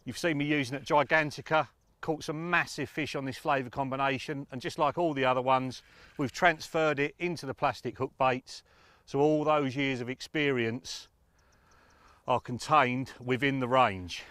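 A man's voice: medium pitch, fairly bright tone, no echo. A middle-aged man presents informal fishing tips outdoors in a matter-of-fact, explanatory way. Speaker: angling presenter. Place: outdoors on a lakeside bank.

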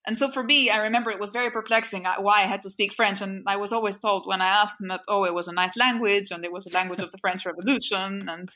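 A woman's voice: medium pitch, steady tone, quick pace, hardly any pauses, sharp tone. A woman in her forties from Albania, a podcast interview guest thoughtfully recalling childhood memories with small restarts. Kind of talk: reflective interview storytelling.

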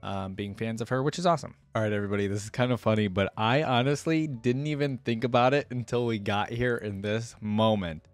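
A man's voice: mid-range voice, no room sound. A man in his twenties reacting casually, thinking aloud and putting questions to viewers, close on a desk microphone. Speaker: reaction video host. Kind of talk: casual reaction commentary on game voice lines. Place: indoor desk setup at home. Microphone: desk microphone close to the mouth.

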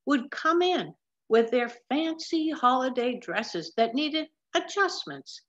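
An older woman reading a picture book aloud in a measured, explanatory manner, recorded on a webcam at home. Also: fairly high pitch, fairly bright tone. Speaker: storybook reader. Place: large wood-ceilinged room at home. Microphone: webcam or laptop mic at desk distance. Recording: slightly dull webcam sound.

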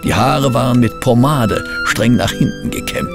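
An actor playing an expressive, fussy gentleman who narrates a detective case in a radio play. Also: medium pitch, moderate pace, vocal fry, over music, natural voice. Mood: atmospheric narrating. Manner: literary, expressive storytelling.

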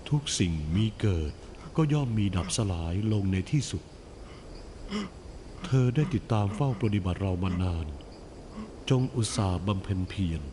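A man playing the Buddha in an animated film, a calm, wise teacher speaking in a solemn, measured way with gentle instruction. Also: lowish voice, noisy background. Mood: gently consoling. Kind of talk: scripted animated film dialogue.

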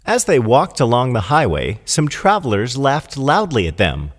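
Animated male storyteller in long reverb. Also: mid-range voice, normal speed, husky. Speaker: narrator. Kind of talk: scripted storybook narration.

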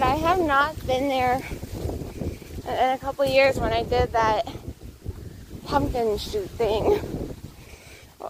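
A woman's voice: sits high, background noise. A young woman chatting casually while walking outdoors, filming herself on a phone at arm's length, a little out of breath. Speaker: vlogger. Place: outdoors on a suburban path. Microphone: phone held at arm's length.

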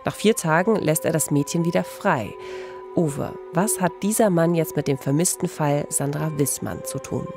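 A woman's voice: deep voice, normal speed, creaky. German woman hosting true crime over music. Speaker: podcast co-host. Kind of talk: true-crime narration and questioning.

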